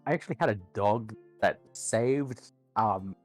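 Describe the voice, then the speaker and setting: A man's voice: fairly low pitch, measured pace, faint music behind. A man with an Australian accent, chatting casually as a guest on a group livestream.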